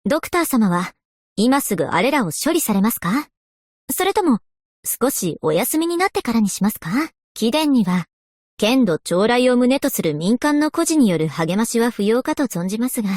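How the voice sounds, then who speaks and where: An earnest young woman historian for a game. Her voice is high and lively in melody, recorded dry and close. She speaks with very formal, genteel courtesy. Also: normal speed, no room sound. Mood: courteous, gentle. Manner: very formal, courteous, refined phrasing. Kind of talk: scripted game character voice lines.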